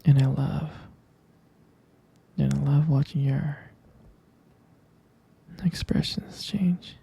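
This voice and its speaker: A man speaks in a tender, adoring boyfriend ASMR murmur, slow and very soft. His voice is extremely breathy and husky, slipping into whispers, with heavy vocal fry running through it. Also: mid-range voice, steady tone. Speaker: ASMR roleplay creator. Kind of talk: intimate boyfriend roleplay ASMR.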